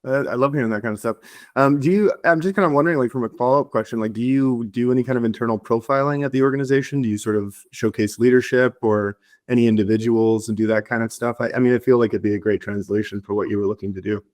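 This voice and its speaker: A man's voice: mid-range voice, steady tone, quick pace, a little mellow. A man hosting a podcast, asking questions in an upbeat, loose way with fillers. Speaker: podcast host. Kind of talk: casual interview hosting.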